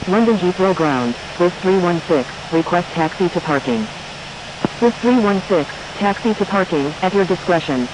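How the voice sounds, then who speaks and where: A man's voice: high voice, lively intonation, normal speed. A man speaking clipped, procedural air traffic control phraseology as a radio operator.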